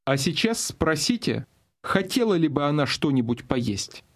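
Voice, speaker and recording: Male course narrator, fairly high and slightly rough voice, instructional delivery for audio lessons. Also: very animated, unhurried.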